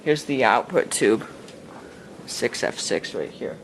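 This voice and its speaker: A man's voice: medium pitch, lively intonation, slow pace, creaky, fairly bright tone. A man talking casually while he restores an old radio, thinking aloud and making chatty asides as he works. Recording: noisy workshop recording.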